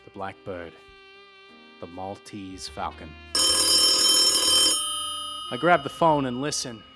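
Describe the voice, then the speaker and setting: An actor playing a wry, world-weary private detective in a 1940s noir radio drama, speaking in a clipped, laconic way. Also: male voice, fairly low pitch, lively intonation, slow, loud music behind the voice. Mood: wry, hard-boiled narrating. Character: tough, wry hard-boiled private detective.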